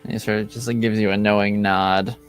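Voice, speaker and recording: A man narrating a tabletop roleplaying game as game master, improvising in a hesitant, halting way. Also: on the low side, little pitch movement, faint music behind.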